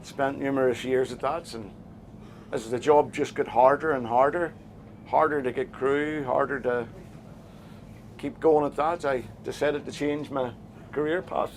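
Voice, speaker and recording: Northern Irish man, skipper, mid-pitched, halting plain-spoken interviewee on a boat. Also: steady tone, slight background noise. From Northern Ireland. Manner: hesitant, plain-spoken, many fillers and restarts. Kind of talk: casual interview answers. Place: on a small boat offshore.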